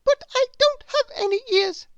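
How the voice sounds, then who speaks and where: A woman voicing a small excitable male bird with a very high, squeaky voice. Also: normal speed, dry recording, muffled recording. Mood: doubtful.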